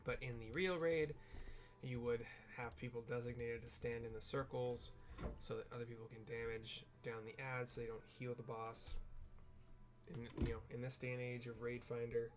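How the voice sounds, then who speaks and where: A man giving casual, explanatory game commentary, describing the scene in a halting way with trailing asides. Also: medium pitch, flat delivery, faint music behind. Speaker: gamer narrating a raid playthrough.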